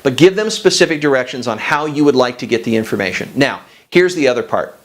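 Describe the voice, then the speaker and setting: A man's voice: medium pitch, expressive, fast talker. A man hosting a business coaching show, giving direct, instructional advice straight to the viewer.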